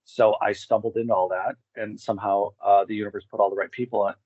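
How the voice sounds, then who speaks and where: A man's voice: fairly low pitch, level tone, quick pace, dry recording, muffled recording. A man talking casually as an interview guest, rambling and circling back to restate his points. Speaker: guest, expedition group co-founder.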